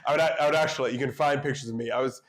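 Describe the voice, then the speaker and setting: A young man speaks as an interview guest, loose and rambling, his words padded with frequent ums. His voice sits at an ordinary middle pitch for a man. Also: fairly even intonation, racing. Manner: easygoing, rambling, many filler ums. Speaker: state senate candidate and schoolteacher. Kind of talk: casual interview chat.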